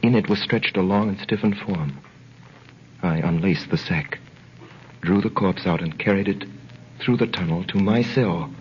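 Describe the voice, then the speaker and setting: Grave, earnest captive, deep voice, measured vintage radio drama style, faint noise on old recording. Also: male voice. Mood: grave, tense. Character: earnest male prisoner recounting his ordeal. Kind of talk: 1930s radio acting, dramatic narration. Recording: muffled, hissy 1930s radio recording.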